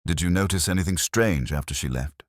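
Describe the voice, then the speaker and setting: A man voicing a shrewd police inspector in a mystery story, soft and murmuring, with measured, knowing delivery. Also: low voice, no room sound, clean studio sound, natural voice. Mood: probing.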